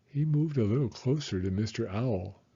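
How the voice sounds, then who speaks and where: A man reading a children's storybook aloud in an expressive, deliberate storytelling manner. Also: medium pitch, slightly rough, lo-fi sound. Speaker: storybook reader. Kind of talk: children's read-aloud narration.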